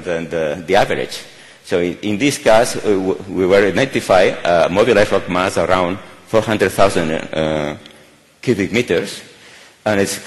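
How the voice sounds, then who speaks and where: A man in his sixties giving a keynote lecture at a podium in a large conference hall, speaking English as a with frequent fillers and restarts. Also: sits low. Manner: halting, many fillers and restarts. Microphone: podium microphone.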